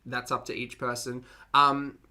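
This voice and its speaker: A woman's voice: rumbling low, level tone, a little slow, bright, forceful tone. A woman hosting casual reaction commentary, emphatic and dryly dismissive, often repeating her phrases. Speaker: commentary host.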